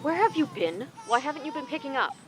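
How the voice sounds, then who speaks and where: A man's voice: very high-pitched, very animated, quick pace. A pushy undercover company client in a sci-fi audio drama. He is insistent and exasperated as he bargains. A slight noise sits behind the voice. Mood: demanding. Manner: demanding, exasperated.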